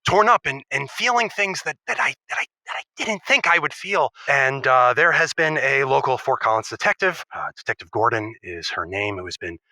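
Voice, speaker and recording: Man playing melodramatic widower, sharp bright voice. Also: mid-range voice, big pitch swings, dry recording, heavily acted. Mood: grave, torn. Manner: halting, melodramatic, over-careful. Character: solemn, suspiciously lovestruck widowed creative writing professor caller. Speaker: caller. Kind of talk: improvised comedic confession.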